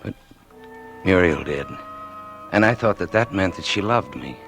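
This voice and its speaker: A low-pitched, introverted man in a vintage radio drama. He is earnest and lovestruck, stammering politely through his words, with music behind him on an old recording. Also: expressive, unhurried, a bit bright, full character voice. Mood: wistful confession. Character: shy, introverted, earnest man smitten by love. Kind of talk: old-time radio drama acting with confessional narration.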